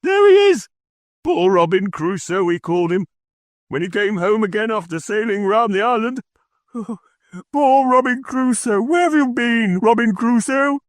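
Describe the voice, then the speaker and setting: A man voicing an old male miser, speaking in a shrill, excited voice between laughing and crying. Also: normal speed, hardly any pauses, no echo, natural voice. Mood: delighted. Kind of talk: audiobook character voice.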